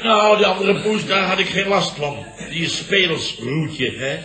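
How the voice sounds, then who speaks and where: An actor playing a flustered, long-winded middle-aged boss who blusters and repeats himself in a radio comedy. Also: male voice, sits high, measured pace, bright, forceful tone. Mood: indignant. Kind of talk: 1970s Dutch radio comedy acting. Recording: roomy old radio recording, studio audience.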